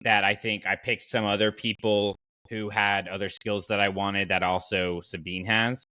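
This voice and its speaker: A man hosting a podcast in casual chat, with a playful, animated way of bantering. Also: fairly low pitch, moderate pace, dry recording. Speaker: podcast host.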